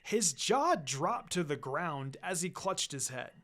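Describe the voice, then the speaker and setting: A man's voice: on the high side, very animated, takes it slowly, bright, forceful tone. A man narrating a story as a reader of fan fiction. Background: background music.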